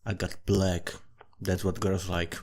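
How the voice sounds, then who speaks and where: A man's voice: deep voice, level tone, slow, a little mellow. A man from Slovakia with a Slovak accent, giving casual, rambling commentary in a profane, self-mocking and digressive way. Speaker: storyteller and amateur writer. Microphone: desktop USB condenser microphone.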